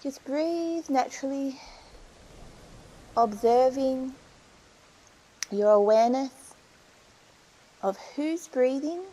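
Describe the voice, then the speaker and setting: A woman leading a guided meditation, giving soothing, unhurried instructions with pauses between phrases. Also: high-pitched, dark tone, poor audio.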